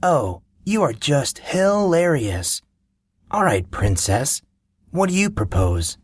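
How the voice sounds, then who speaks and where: A man's voice: fairly high pitch, sing-song, slow, slightly rough, no room sound, voice pushed up, heavily acted. Man doing a cocky young guy, mocking, sarcastic, dry sound. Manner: mocking, needling.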